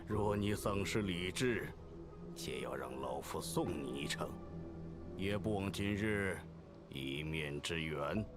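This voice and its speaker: An actor playing an elderly, cryptic man in a video game, speaking in measured, archaic and gravely formal phrases. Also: sits low, animated. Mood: grimly courteous. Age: older. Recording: game music behind the voice.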